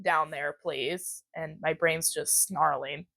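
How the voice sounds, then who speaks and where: A woman's voice: deep voice, steady tone, slow pace, gravelly, fairly bright tone, dry recording. A young woman in her twenties hosting a podcast, talking candidly and laughing often in casual chat. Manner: chatty, giggly, candid. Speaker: podcast host.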